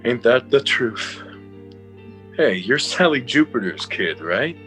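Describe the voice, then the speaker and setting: A man acts a brash middle-aged heavy in a drama. He talks slowly and too familiarly, in a mid-pitched voice, with soft music behind. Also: very animated. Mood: leering. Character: brash, sleazy middle-aged tough guy. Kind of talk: drama dialogue.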